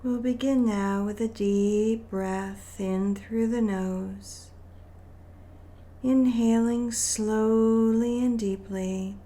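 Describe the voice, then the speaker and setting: A woman leading a guided meditation in a gentle, soothing manner, with deliberate phrasing and long pauses. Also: on the high side, fairly even intonation.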